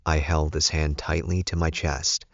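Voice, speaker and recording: A man's voice: a bass voice, level tone, unhurried, vocal fry, no room sound, muffled recording. A man reading first-person fiction aloud as a scripted audiobook narration.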